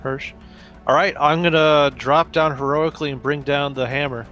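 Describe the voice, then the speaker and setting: A man's voice: on the high side, fairly even intonation, normal speed, a bit bright, soft background music. A man playing a tabletop game, chatting casually and thinking tactics through aloud.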